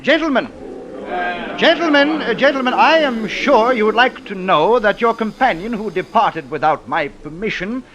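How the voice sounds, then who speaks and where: Hemming, sinister rich gentleman, swooping pitch. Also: animated, moderate pace. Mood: politely sinister. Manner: hemming, offhand, politely menacing. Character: genteel, coldly casual rich father and sinister estate owner. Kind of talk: old-time radio drama acting. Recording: noisy old radio drama broadcast.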